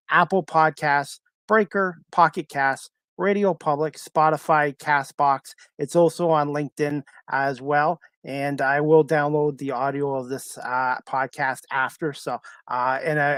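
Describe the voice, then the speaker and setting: A man from Canada with a slightly high voice hosts a casual livestream podcast. He rambles haltingly and circles back on himself, recorded very dry with no room sound. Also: no echo. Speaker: podcast host.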